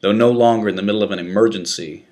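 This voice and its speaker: Deep-voiced man reading a dramatic memoir. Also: steady tone, quick pace, darkish tone. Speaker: narrator reading an article. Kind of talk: scripted narration of a first-person prison memoir.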